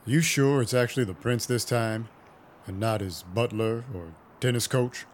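An actor playing a cynical, violent vampire detective, narrating a noir audio drama with wry menace. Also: male voice, medium pitch, moderate pace, slight background noise, natural voice. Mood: threatening. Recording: music behind the voice. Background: music.

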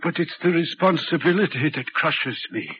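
A muffled old radio drama recording with faint noise carries a male actor as a tormented railway signalman. His high voice is anguished and agitated, hammering on repeated phrases like a man crushed by guilt and haunted by a spectre. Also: expressive, moderate pace, natural voice. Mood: anguished, despairing. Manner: anguished, agitated, emphatic repetitions. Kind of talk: 1940s radio drama acting. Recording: muffled, noisy vintage 1940s radio recording.

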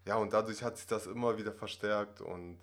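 A man's voice: fairly low pitch, fairly even intonation, brisk. A man in his twenties who grew up in Russia, talking casually as a podcast guest, rambling with many fillers and tag questions. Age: 20s. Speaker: acting student guest.

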